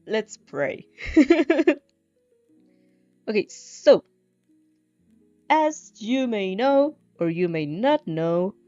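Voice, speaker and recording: A woman streamer talks casually in a soft-edged, mellow voice at a slow, halting pace. She repeats herself and giggles as she goes. The recording is a little dull, with faint music behind her. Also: medium pitch, expressive. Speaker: streamer reading fan fiction aloud. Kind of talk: casual livestream chat and read-aloud. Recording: muffled, roomy home recording with music.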